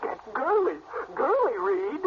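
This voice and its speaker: A simple-minded, timid grown son in a radio horror play, high-voiced and swooping as he pleads in broken baby-talk. The recording is muffled and noisy. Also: sing-song, slow, heavily acted. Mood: eager. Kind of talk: radio horror drama acting.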